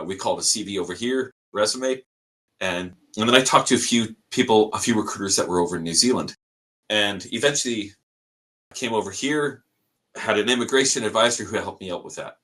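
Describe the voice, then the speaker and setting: A young Canadian man with a Canadian accent, a podcast guest casually telling his story and acting out the people in it. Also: fairly low pitch. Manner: animated, acts out quoted people in his stories.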